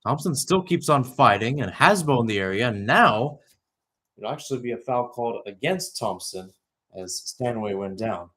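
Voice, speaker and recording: Young guy doing live soccer play-by-play, really up and down pitch, corrects himself, chatty asides. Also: animated, normal speed, a bit bright, breathy edge. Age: young adult. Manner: self-correcting, conversational asides. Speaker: play-by-play commentator. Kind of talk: live soccer radio-style play-by-play commentary.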